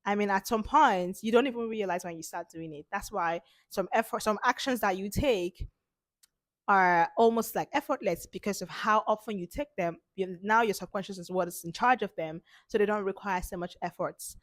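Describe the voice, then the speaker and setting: A woman hosting an informal instructional talk as a confidence coach, speaking emphatically with repeated points and a slightly hoarse voice from a cold. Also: mid-range voice, moderate pace, no echo, studio quality.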